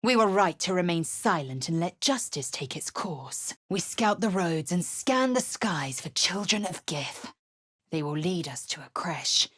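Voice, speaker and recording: Stern, husky alien warrior woman. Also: fairly low pitch, lively intonation, measured pace, fairly bright tone, heavily acted. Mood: stern, resolute. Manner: clipped, imperious, formal commands. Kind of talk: video game character voice lines.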